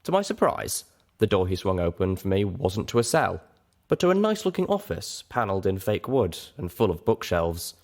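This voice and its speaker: Low-pitched man narrating a story. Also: very animated, normal speed, darkish tone. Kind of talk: dramatic first-person story narration.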